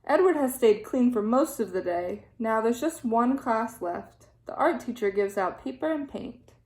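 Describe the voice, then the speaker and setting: A woman teacher reading a children's storybook aloud in an expressive, animated way with deliberate phrasing. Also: a little high. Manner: animated, deliberate phrasing with dramatic sound-effect words. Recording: echoey room sound.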